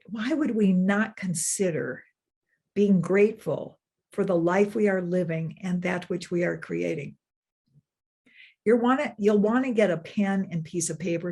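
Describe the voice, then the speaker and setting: Older female minister, sermon delivery, lower voice, earnest, drawn-out emphasis. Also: darkish tone, husky. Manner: earnest, repeats phrases, slows down for emphasis. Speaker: senior minister.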